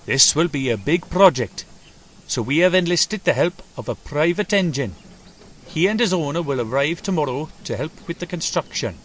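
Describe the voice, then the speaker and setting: A man's voice: on the high side, lively intonation, normal speed, fairly bright tone, a little hiss or hum, pitched above the performer's own voice. A man voicing a proud, assuring railway controller in a children's storybook, making formal, authoritative speeches. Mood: announcing.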